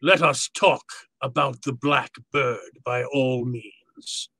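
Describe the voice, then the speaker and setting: An actor playing a jovial, courtly, calculating fat crime boss in a radio drama, speaking floridly and with relish. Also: male voice, mid-range voice, fairly even intonation, slow pace, sharp tone, no room sound. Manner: florid, pompous, relishing his words. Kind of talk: old-time radio drama acting.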